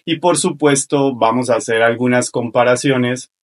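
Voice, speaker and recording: A man's voice: mid-range voice, steady tone, moderate pace. Man hosting a podcast. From Colombia. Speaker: podcast host and paramedic. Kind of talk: podcast introduction and interview.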